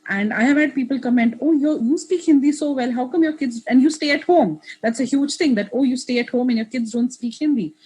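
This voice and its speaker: A woman's voice: high voice, a little fast, few pauses, darkish tone, husky, low-quality recording. A woman in her thirties, a guest in an interview, talking in a reflective way with many restarts and asides. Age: 30s. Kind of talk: casual interview conversation.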